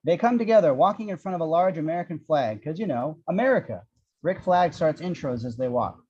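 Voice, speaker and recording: A man's voice: on the high side, expressive, a little fast, dark tone, breathy edge. A man reading screenplay action descriptions with a wry manner, on a dull lo-fi microphone. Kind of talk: scripted screenplay narration.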